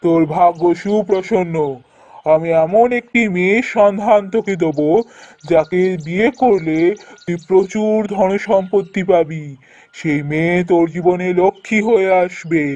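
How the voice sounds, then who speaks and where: A man's voice: sits high, normal speed, a little mellow, no room sound, highs cut off, lightly acted. A man playing a commanding wandering holy man in a folk-tale cartoon, speaking in solemn, oracular pronouncements.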